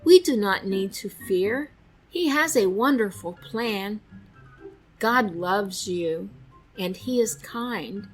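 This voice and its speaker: A woman narrates a picture book for young children. She reads slowly and deliberately in a medium-pitched voice, with expressive storytelling. She is close on a studio mic over faint background music. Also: low-quality recording. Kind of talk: expressive read-aloud narration. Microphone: close studio microphone.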